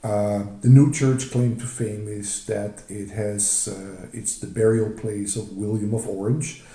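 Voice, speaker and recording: Older Dutch man, dark halting voice. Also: lowish voice, little pitch movement, roomy sound. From Netherlands. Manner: halting, many fillers and restarts, fond. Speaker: retired emergency physician presenting travel photos. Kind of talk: slide presentation on a video call. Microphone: video call.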